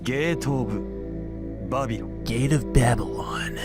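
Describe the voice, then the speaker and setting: A man's voice: mid-range voice, slow, over loud music, close to an everyday voice. A man voicing an arrogant, mocking warrior king with haughty, theatrical delivery in a visual novel. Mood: boastful.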